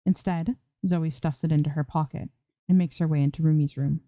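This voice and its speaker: A woman's voice: sits low, fairly even intonation, fairly quick, dark tone, dry recording. A woman reading fan fiction aloud as scripted audiobook-style narration, close to the microphone.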